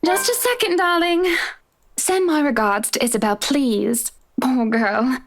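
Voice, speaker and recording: Very high-voiced, fussing genteel wife. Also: female voice, a little slow. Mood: gracious, sympathetic. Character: doting, chiding, gracious upper-class wife.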